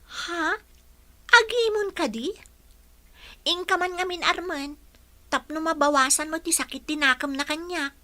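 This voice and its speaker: A woman's voice: very high voice, a little slow, long pauses, bright, forceful tone, exaggerated voice. A woman playing an indignant young schoolgirl with an emphatic delivery in a radio drama.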